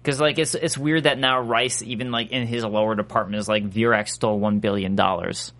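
A man with a nasal voice, co-hosting a casual recap chat, quick to jump into quoted voices. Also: lowish voice, fairly even intonation, a bit bright. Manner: chatty, breaks into quoted voices. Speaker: podcast co-host.

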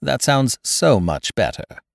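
Man voicing a prim woman receptionist, pitched up. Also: big pitch swings, slow, vocal fry, no room sound, clean studio sound, heavily acted. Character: matter-of-fact, prim female clinic receptionist.

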